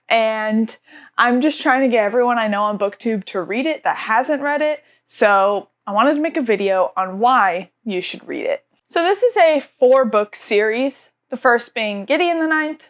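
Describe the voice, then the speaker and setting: A young woman reviewing books in a casual vlog, stuffy from allergies, gushing with enthusiasm and often saying "like". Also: fairly high pitch. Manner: gushing, enthusiastic, frequent "like" fillers. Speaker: book vlogger.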